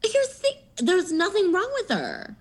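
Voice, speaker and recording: A woman co-hosting a podcast in casual chat, animated and giggly, with lively storytelling asides. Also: very high-pitched, moderate pace. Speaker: podcast co-host.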